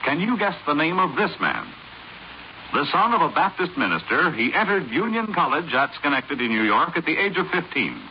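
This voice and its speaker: An American man, a radio announcer, reading scripted 1950s quiz narration in a deliberate, presentational way. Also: on the high side, big pitch swings. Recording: muffled, hissy 1950s radio recording.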